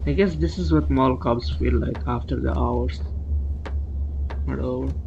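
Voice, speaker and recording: A man's voice: mid-range voice, unhurried, vocal fry, lo-fi sound, noisy background. A man hosting a reaction video with casual, musing commentary, often putting questions to his listeners.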